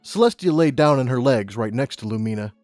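A man narrates a story from a script. His voice is medium in pitch and recorded dry and close, with music playing behind it. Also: expressive, brisk, a little mellow, dry recording. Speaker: audiobook narrator.

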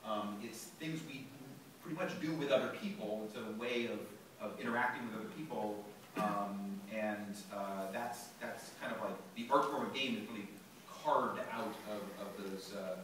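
A man's voice: on the low side, moderate pace, fairly bright tone, a little hiss or hum. A man on a panel answering thoughtfully, with many fillers and restarts, recorded from the audience of a large lecture hall. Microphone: phone recording from the audience. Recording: echoey hall from far off.

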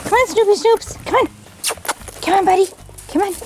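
A woman's voice: very high-pitched, brisk, a little mellow. A woman chatting casually from behind the camera on an outdoor vlog, often talking to animals. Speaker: camera operator and co-host. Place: outdoors on a farm. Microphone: close behind handheld camera. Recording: noisy outdoor camcorder audio.

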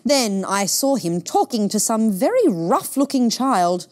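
A woman's voice: fairly high pitch, very animated, a little slow, darkish tone. A woman voicing a fussy, snobbish mother in a picture book, pompous, bossy and disapproving. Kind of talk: picture book read-aloud.

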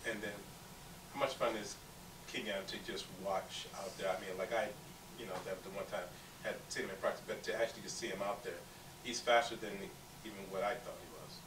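A man, a reporter, asking casual, halting and rambling questions at a press conference. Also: lowish voice, steady tone, distant mic. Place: indoor press conference room. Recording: echoey room, off-mic, noisy.

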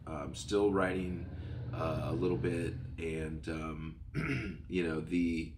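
A man's voice: rumbling low, slow pace, background noise. A middle-aged man hosting his own podcast from a home studio, rambling cheerfully, a bit stuffed up from a cold. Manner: rambling, upbeat, self-correcting. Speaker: podcast host. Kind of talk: casual solo podcast chat. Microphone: studio mic on boom arm, headphones.

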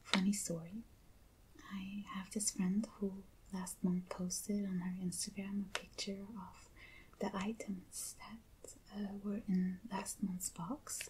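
Whispering woman, slow soft-spoken ASMR. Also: medium pitch, little pitch movement. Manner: hushed, gentle, unhurried with pauses. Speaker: ASMR video creator. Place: indoors, at a table. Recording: clearly noisy hissy close recording.

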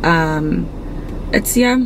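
A woman in her twenties answers questions with pauses and fillers, and she giggles easily. Loud traffic noise runs under her voice. She sounds casual and a little unsure. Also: deep voice, expressive, poor audio. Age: 20s. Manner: hesitant, giggly. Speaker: interviewee. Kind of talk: casual street interview.

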